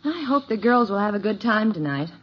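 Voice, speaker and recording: An actress playing a fond, sentimental suburban mother in a 1950s radio sitcom, with expressive, motherly delivery. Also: medium pitch, brisk, breathy edge. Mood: fond, amused. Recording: muffled old radio recording, faint noise.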